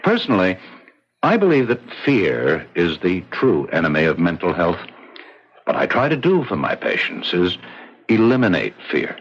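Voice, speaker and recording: An American actor playing a smooth, measured, faintly condescending psychiatrist in a 1970s radio drama. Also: male voice, low voice, huge pitch swings, exaggerated voice. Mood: calmly probing. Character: smooth, probing male psychiatrist with unsettling calm. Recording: dull, noisy 1970s radio recording.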